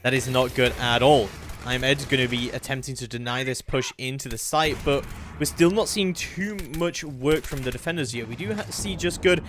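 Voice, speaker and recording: A man's voice: medium pitch, moderate pace, hardly any pauses, a little hiss or hum. A man giving live play-by-play commentary on a video game as an esports caster, talking analytically. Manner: analytical, hedging, swells into excited calls.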